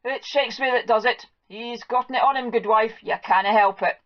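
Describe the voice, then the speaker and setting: A woman plays a good-natured old Scots farmer who teases his wife in a dry, easygoing way. The voice sits a little higher than plain narration, on a dull, lo-fi storybook recording. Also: fairly quick, lightly acted. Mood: amused. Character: good-natured, teasing older Scottish farmer.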